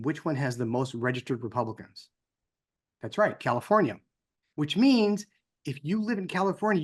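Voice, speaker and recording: An American man giving an informal, conversational speech as a co-founder, in the manner of someone telling personal anecdotes. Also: medium pitch. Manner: conversational, acts out dialogue in anecdotes.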